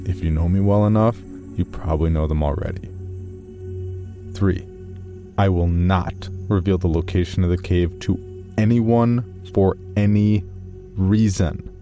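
A man playing an earnest, guarded amateur caver, narrating his journal in a measured, matter-of-fact way for an audio horror drama. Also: sits low, dark tone, soft background music. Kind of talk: first-person scripted horror narration.

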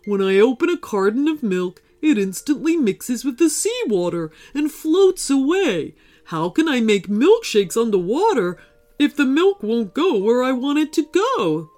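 A woman voicing a gentle, gloomy male sea monster in a storybook, slow and glum. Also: fairly high pitch, very animated.

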